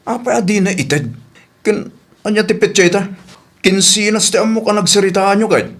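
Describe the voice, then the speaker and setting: A man's voice: high-pitched, moderate pace, a bit bright. A man playing a protective, indignant older brother in a radio comedy drama, plain-spoken and questioning.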